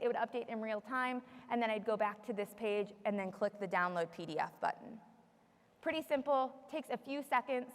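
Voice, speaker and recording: A woman with a fairly high voice works as a software trainer, walking listeners through each step in plain, explanatory language. Her voice carries a long room reverb, as in a live training session. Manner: explanatory, repeats audience questions for the room. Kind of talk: live software tutorial with audience questions.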